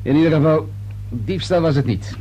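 An actor playing a wry, persistent police inspector in a Dutch radio play, questioning hesitantly and insinuatingly. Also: male voice, on the high side, moderate pace, warm tone, breathy edge. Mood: musing, insinuating. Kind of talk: Dutch radio drama dialogue. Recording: noisy old radio play recording with hum.

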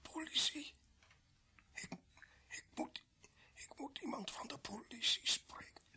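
An actor in a radio play playing a gravely wounded, bitter criminal who gasps weakly in halting, broken phrases. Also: male voice, very high-pitched, pushed and bright, exaggerated voice. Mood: weak, urgent. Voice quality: breathy, husky whisper. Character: dying, bitter male criminal protesting his innocence of murder.

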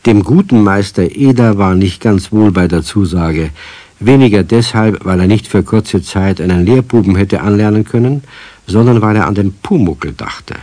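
A man's voice: lowish voice, moderate pace, warm tone, no echo, lightly acted. A man playing the narrator of a children's radio play, reading scripted storytelling lines. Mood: calm, explanatory.

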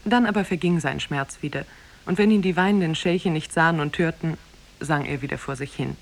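A woman as narrator of a 1950s radio drama, telling a fairy tale in a measured, scripted way. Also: deep voice, vocal fry. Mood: calm narrating.

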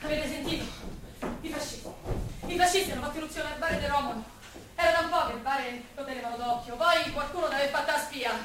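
A woman's voice: high voice, fast, close to an everyday voice. An actress playing a blunt, suspicious working-class woman with a Roman accent, in a theatrical stage style. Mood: alarmed. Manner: blunt, direct. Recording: distant echoey stage recording with noise.

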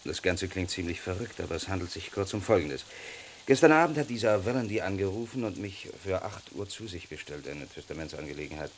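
An actor playing a polite but irritated lawyer in a vintage radio crime play, speaking formally with dry sarcasm. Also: male voice, low-pitched, expressive, brisk, slight background noise. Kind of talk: 1950s-80s radio crime drama.

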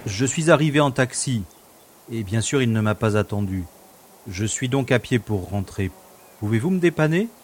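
A man's voice: mid-range voice, animated, moderate pace, slight background noise, lightly acted. A man acting a dogged, theatrical space detective in a French audio drama, with a dramatic, questioning manner. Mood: put out. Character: dogged, theatrical male space special agent.

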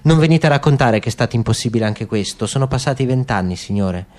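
A man's voice: mid-range voice, lively intonation, quick pace, darkish tone, natural voice. A man voicing a tall young abandoned son in a radio play, insistent and reproachful with controlled anger. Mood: cold reproach.

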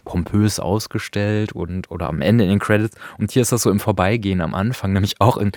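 A man's voice: lowish voice, brisk, a little mellow, no echo. A man co-hosting a radio podcast, talking reflectively and explaining as he searches for his words. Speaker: podcast co-host. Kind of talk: conversational radio discussion of audio drama. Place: dry radio studio. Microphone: close studio microphone.

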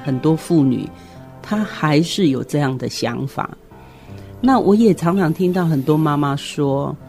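A middle-aged woman with a Taiwanese Mandarin accent, explaining reflectively in short, deliberate phrases as a guest on a radio discussion. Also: low-pitched. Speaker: guest commentator, educator.

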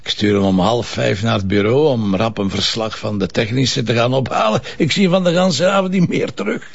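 Gravelly Flemish police commissioner. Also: male voice, mid-range voice, moderate pace, muffled recording. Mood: tipsy, playful. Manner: colloquial, teasing. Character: wry, beer-loving Flemish police commissioner, casual and teasing. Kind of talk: naturalistic TV crime drama dialogue.

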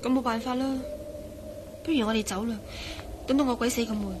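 A woman's voice: on the high side, steady tone, fairly quick, noisy background, lightly acted. An actress playing a plucky, independent, slightly impatient young woman in a Cantonese radio drama, with a Hong Kong accent. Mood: tired, insistent.

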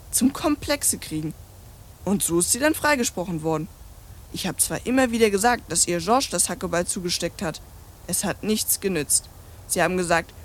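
A woman's voice: on the low side, slight background noise, natural voice. A woman voicing a precocious, bluntly scornful young French girl in a radio play, telling stories in bossy, chatty slang. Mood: brash, indignant.

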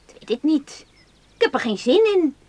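A woman's voice: sits high, big pitch swings, normal speed, dark tone, dry recording. An actress in a radio play voicing a shy, anxious young woman who speaks in hesitant, short answers. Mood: uneasy.

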